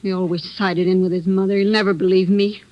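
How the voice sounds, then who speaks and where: An actress playing an anxious, distressed wife in trouble in an old radio crime drama. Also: female voice, mid-range voice, flat delivery, fast talker, lo-fi sound, natural voice. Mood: distraught. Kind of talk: 1950s radio drama acting.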